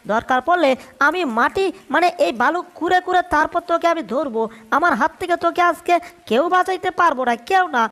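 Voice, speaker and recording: High-pitched, blustering cartoon tomcat with , voice-acted. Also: fairly bright tone. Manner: blustering threats and exclamations in colloquial speech. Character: scheming, hot-tempered male cartoon cat, boastful and flirtatious. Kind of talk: comic cartoon dubbing.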